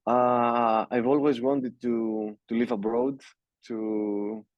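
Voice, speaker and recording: A young man from Greece with a Greek accent, speaking English as a second language in a casual interview and talking haltingly with many fillers and restarts. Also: mid-range voice, level tone, no echo, highs cut off. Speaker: music producer, guest.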